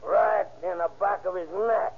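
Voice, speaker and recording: Sneering, gloating stick-up gangster, old radio. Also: male voice, high-pitched, unhurried, vocal fry, husky, full character voice. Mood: threatening, taunting. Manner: sneering, taunting, slangy tough-guy talk. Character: gloating, cruel armed stick-up gangster, leader of the gang. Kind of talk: old-time radio crime drama acting. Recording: muffled, narrow-band vintage radio recording.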